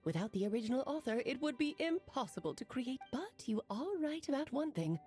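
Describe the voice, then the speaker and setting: A woman's voice: high-pitched, big pitch swings, fairly quick, a little mellow, soft background music. A woman playing a breezy, eccentric witch auntie in an anime video game, with a theatrical storyteller's flourish. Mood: assured, playful.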